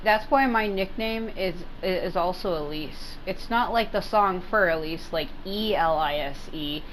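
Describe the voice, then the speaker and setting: A woman's voice: on the low side, unhurried, poor audio. A woman giving casual, rambling gameplay commentary, often repeating herself for emphasis. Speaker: gaming video host. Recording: faint background noise and game ambience.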